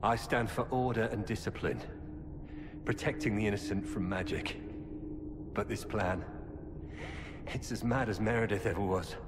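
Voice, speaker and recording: An actor playing an earnest, disillusioned former knight in a video game, speaking gravely and urgently. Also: male voice, lowish voice, moderate pace, pauses often, background noise, natural voice. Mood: grave, bitter. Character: earnest, disillusioned male ex-Templar knight turned informant. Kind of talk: dramatic video game dialogue. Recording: cavernous reverb, loud game ambience behind.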